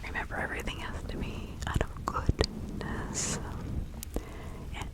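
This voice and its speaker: A woman whispering slowly and breathily in an ASMR roleplay, playing a kindly, protective witch who gives careful instructions. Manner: slow, deliberate, instructing with soft repetition. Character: kindly, protective 17th-century witch woman giving counsel.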